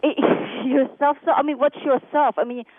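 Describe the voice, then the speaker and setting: A woman's voice: high voice, steady tone, moderate pace, a crowd in the background. Sceptical female caller on a tinny phone. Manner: sceptical, insistent, repeats questions. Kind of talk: argumentative radio call. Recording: tinny telephone line.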